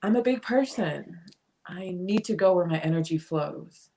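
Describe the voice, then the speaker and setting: Actress doing snooty sardonic bohemian sculptor lady in a play, lowish voice, dry and affected. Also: lively intonation, normal speed, vocal fry, dark tone, rough recording. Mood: haughty. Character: aloof, arty, sardonic woman, bohemian sculptor. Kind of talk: dramatic play reading.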